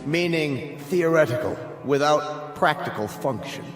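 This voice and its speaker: Stern state official in echoing hall. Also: male voice, fairly high pitch, animated, measured pace. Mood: condescending, stern. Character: cold, imperious state official presiding over a hearing. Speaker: actor in a radio drama. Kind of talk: radio drama acting. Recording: echoey hall reverb with music behind.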